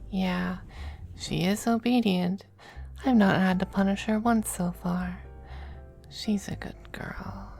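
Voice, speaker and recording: Woman doing a soft demon femboy lover for asmr, possessive, slow n creaky, whispery, faint music behind. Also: mid-range voice, full character voice. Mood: hesitant, indulgent. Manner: soft, breathy, unhurried, often dropping to whispers. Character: possessive, doting, androgynous male demon lover, centuries old. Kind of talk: intimate ASMR roleplay.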